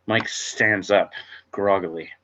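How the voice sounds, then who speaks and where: A man's voice: lowish voice, fairly even intonation, slow, bright, forceful tone, lo-fi sound. A man narrating screenplay stage directions at an amateur table read.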